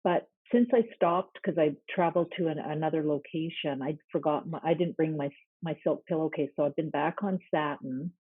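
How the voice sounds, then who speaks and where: Woman, husky, hesitant, thoughtful, tinny phone-like line, dry sound. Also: low-pitched, fairly quick, no echo. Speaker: call-in participant. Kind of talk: casual chat. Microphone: video call.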